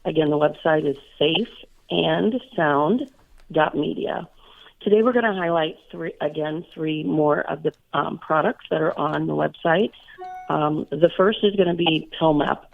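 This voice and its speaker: Hoarse woman co-host calling in by phone; gravelly, raspy, casual and filler-heavy. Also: low-pitched, measured pace. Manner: chatty, many fillers. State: hoarse, recovering from illness. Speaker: radio co-host. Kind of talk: casual radio chat. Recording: tinny phone line.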